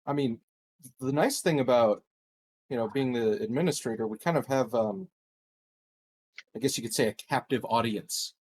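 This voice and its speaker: A man's voice: medium pitch, moderate pace, long pauses, darkish tone, slightly rough, rough recording. A man bantering casually as a player during a tabletop game.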